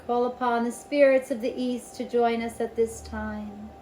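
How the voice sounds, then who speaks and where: A woman leading a spiritual circle in a slow, ceremonial manner, with guided meditation and invocations and pauses between phrases. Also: on the high side, fairly even intonation, darkish tone, a little hiss or hum, rough recording. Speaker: Reiki circle leader.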